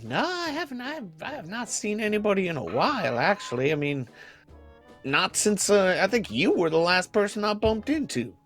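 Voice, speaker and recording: A man's voice: sits high, big pitch swings, normal speed, fairly bright tone, faint music behind, exaggerated voice. A man playing a bookish, fussy wasteland hermit in an improvised game roleplay, hesitant and folksy, rambling and repeating himself. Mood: awkward, hesitant.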